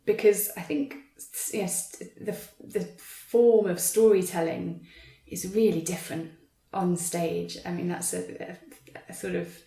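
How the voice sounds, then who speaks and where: A woman talking thoughtfully in an interview as a writer, choosing her words carefully and often pausing to rephrase. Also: fairly low pitch, steady tone, mellow. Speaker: writer adapting an audio drama for stage. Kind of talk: thoughtful conversational interview talk. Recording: roomy, echoey sound.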